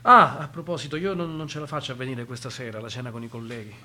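A man's voice: medium pitch, fairly even intonation, fast talker, sharp tone. A man acting an evasive, troubled young Sicilian doctor and affectionate son in naturalistic radio drama, speaking hesitantly. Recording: faint hum and noise.

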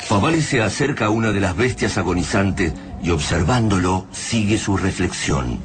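A man with an Argentine accent narrating a radio drama in a grave, measured way. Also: sits low, expressive. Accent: Argentine Spanish. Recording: radio play with loud music behind.

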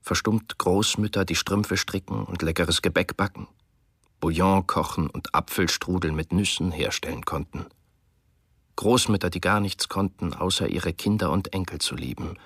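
A man with a deep voice reads a wartime text in a mournful, declamatory way. The studio sound is dry and close. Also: moderate pace, a bit bright, slightly rough, dry recording. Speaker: reader of a translated wartime text. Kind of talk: solemn documentary reading.

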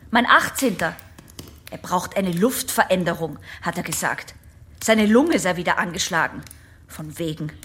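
A woman acting a headstrong eighteen-year-old patient in a radio play. She has a medium-pitched voice and sounds wry and resentful, with faint noise behind her. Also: a bit bright, natural voice. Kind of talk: German radio drama dialogue.

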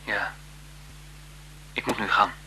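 An actor in a radio drama playing a shy, deferential photographer, hesitant, soft-spoken and courteous, nervously smitten. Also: male voice, fairly low pitch, fairly even intonation, slow, slight background noise, full character voice, rough recording. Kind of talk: 1970s Dutch radio drama acting.

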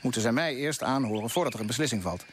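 A man's voice: medium pitch, animated, quick pace, slight background noise, natural voice. A man playing a principled, stubborn colonial official in a Dutch radio play, speaking formally and firmly. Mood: determined. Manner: formal, firm, declarative.

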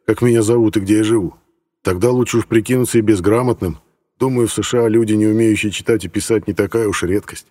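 Low-pitched male audiobook narrator, reverberant sound. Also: warm tone. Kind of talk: scripted first-person fiction narration.

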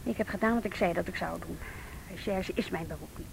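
A woman's voice: brisk, vocal fry. A guarded policewoman, noisy old recording. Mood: defiant. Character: uneasy, principled woman working with juvenile police. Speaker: youth police worker. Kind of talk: Dutch radio drama acting. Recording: rumbling, noisy old radio drama recording.

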